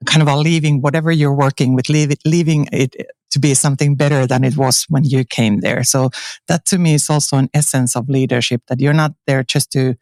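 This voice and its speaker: A woman's voice: rumbling low, fairly even intonation, a little fast, darkish tone, dry recording. A woman answers interview questions earnestly. Her speech is full of fillers and restarts. The sound is dry and close, with no room. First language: Finnish. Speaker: interview guest, transformation coach. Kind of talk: conversational interview. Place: conference venue.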